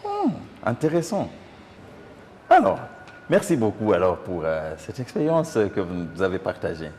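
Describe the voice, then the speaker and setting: A man asks interview questions in a deep, very animated voice. His phrasing is conversational and hesitant, with repeated words. The sound has long reverb, as in a hall. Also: moderate pace, a little hiss or hum.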